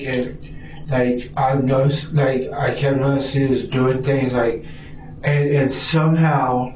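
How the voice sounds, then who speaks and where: A man's voice: medium pitch, a little mellow. A hesitant American man who stammers and repeats words while answering questions in a police interview. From Arizona, United States. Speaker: suspect being questioned by police. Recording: distant roomy mic, dull audio, faint noise.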